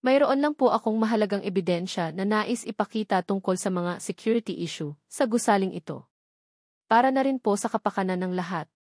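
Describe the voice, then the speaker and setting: A woman's voice: mid-range voice, expressive, fairly quick, darkish tone, no echo, clean studio sound. Woman narrator as a firm, courteous policeman. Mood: polite, firm. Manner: respectful but firm. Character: polite, steadfast policeman exposing a culprit.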